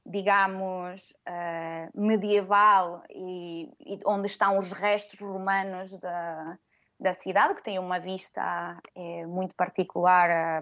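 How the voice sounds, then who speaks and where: A woman's voice: mid-range voice, fairly bright tone, highs cut off. A woman who grew up in Venezuela and Madeira, speaking as an interview guest. She talks in a hesitant way, with frequent fillers and tag questions. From Venezuela, raised from 12 in Madeira. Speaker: guest, language teacher. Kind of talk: conversational interview.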